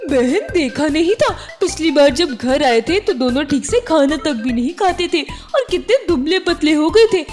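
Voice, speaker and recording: Woman playing a caring cartoon bird wife, very high voice, fretful, earnest, agreeable, music behind. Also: animated, normal speed, few pauses, darkish tone. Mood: concerned, earnest.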